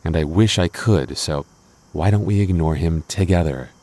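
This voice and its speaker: Young male lover played by a voice actor, deep and soft-toned, possessive yet devoted, gets defensive, intimate roleplay. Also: normal speed. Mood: annoyed, possessive. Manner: stammering, defensive, teasing asides. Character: jealous, protective young boyfriend, defensive but loving. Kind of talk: intimate boyfriend audio roleplay.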